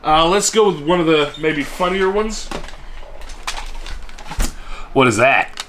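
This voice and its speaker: A man's voice: a little high, expressive, slow, noisy background. A man chatting casually in a vlog, showing off his collection and rambling with self-deprecating humor. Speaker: collector vlogger. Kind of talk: casual show-and-tell vlog.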